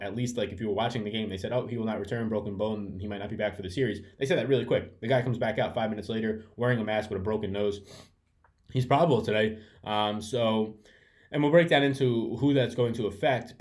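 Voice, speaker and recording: A man's voice: lowish voice, a little mellow. A man giving a casual webcam breakdown of fantasy basketball picks, rambling and correcting himself, sniffling from allergies. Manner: rambling, self-correcting, chatty. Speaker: fantasy sports analyst. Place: room at home. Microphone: webcam setup.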